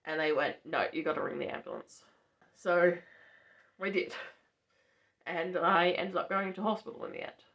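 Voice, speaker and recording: A young woman with a gravelly, hoarse voice from allergies, talking in a chatty, matter-of-fact way in a casual vlog from a farmhouse bedroom. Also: on the low side, expressive, normal speed, pauses often, a bit bright. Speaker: vlogger.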